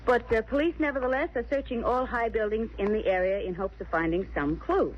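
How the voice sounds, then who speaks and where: An actress playing a detective's devoted, helpful sweetheart with an American accent, attentive and earnest in a 1940s radio drama. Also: female voice, on the high side, fairly quick, lightly acted. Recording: muffled old radio recording, faint hiss.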